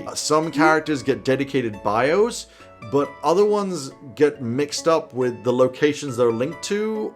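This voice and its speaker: A man in his thirties giving a conversational explainer to camera as a host, with an animated, casual, dryly humorous manner. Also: mid-range voice. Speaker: tabletop roleplaying game advice host. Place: small home office, indoors.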